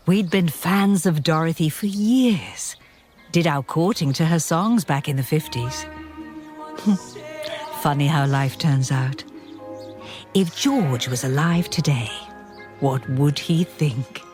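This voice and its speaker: Slow, wry, long-suffering farm widow. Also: female voice, low voice, a little mellow. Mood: wry, reflective. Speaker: actor in a radio play. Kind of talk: radio comedy-drama acting, confiding narration.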